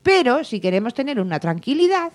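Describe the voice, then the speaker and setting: Woman, somewhat high pitch, long-winded and argumentative in casual chat. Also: big pitch swings, brisk. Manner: chatty, argues her point at length.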